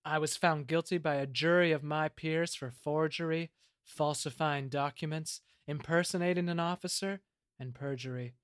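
A man's voice: on the high side, fairly bright tone, no room sound, close to an everyday voice. A man acts out an earnest male historian in an audio play. He declaims formally and with self-importance, in a raised voice with a very dry, close sound.

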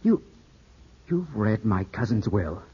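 On an old, muffled radio drama, a young English heir in grief. The voice is low and rough-edged. He is clipped, intense and full of suspicion. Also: sing-song, takes it slowly, mellow, heavily acted. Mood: suspicious, tense. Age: 20s. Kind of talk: 1950s radio drama acting. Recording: muffled, scratchy 1950s radio broadcast.

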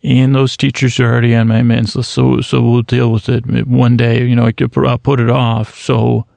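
Gravelly, rambling man in his thirties. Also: mid-range voice, flat delivery, darkish tone, no room sound. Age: 30s. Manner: slow, meandering, halting with many restarts and fillers. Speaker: sleep podcast host. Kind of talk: rambling bedtime monologue.

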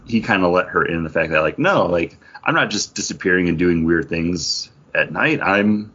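Deep-voiced man chatting on a podcast. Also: animated, normal speed, bright, forceful tone, slightly rough, lo-fi sound. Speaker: podcast host. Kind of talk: casual comics and beer chat.

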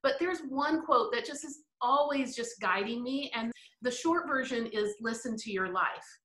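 Middle-aged woman guest, animated and laughing a lot, bright voice, echoey room. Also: on the high side, unhurried. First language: English. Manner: animated, laughs often, reflective. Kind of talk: interview conversation.

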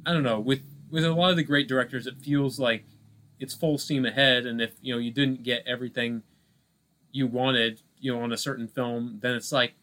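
A man's voice: mid-range voice, fairly even intonation, fairly quick, fairly bright tone. Male podcast co-host, mid-range voice, casual film chat, hesitant and discursive.

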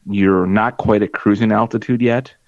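Male co-host, low gravelly voice, halting wry rambler, dry dull recording. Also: steady tone, dry recording. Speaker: podcast co-host. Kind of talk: casual chat.

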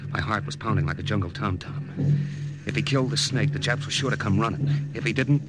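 An actor playing a brash, wry young American secret agent in an old radio drama, with punchy hard-boiled delivery. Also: male voice, fairly low pitch, a little fast, over loud music, natural voice. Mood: tense narrating. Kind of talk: 1950s radio drama narration and dialogue.

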